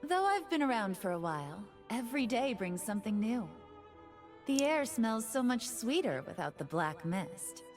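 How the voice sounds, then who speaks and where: A playful female fox spirit from a video game, speaks in a fairly high voice. She is poised, confident and teasing, like a pop idol who knows all eyes are on her. Light music sits behind her. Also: sing-song, moderate pace. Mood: reflective. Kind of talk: video game character voice lines.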